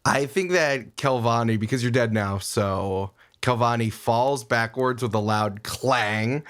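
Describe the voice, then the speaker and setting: A man's voice: mid-range voice, unhurried, a bit bright. A man playing a tabletop game, casually improvising narration of his character's moves in a playful way. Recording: music bed behind the voice.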